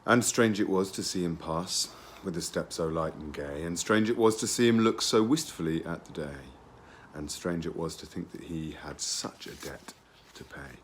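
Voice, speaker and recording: A man with an exceptionally deep voice recites poetry dramatically. There is faint noise behind him. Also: moderate pace. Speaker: poetry reader.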